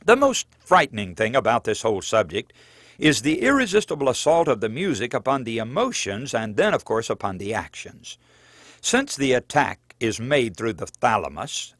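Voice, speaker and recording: A man reading his own book aloud as scripted audiobook narration, in an earnest, measured preaching cadence. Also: mid-range voice, a bit bright, no echo.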